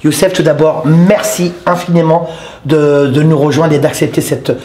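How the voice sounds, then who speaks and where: A man's voice: a little high, fairly even intonation, normal speed, a crowd in the background. Effusive man, roomy echo. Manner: effusive, repeats thanks and compliments, many restarts. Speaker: interviewer and channel host. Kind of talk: casual interview chat.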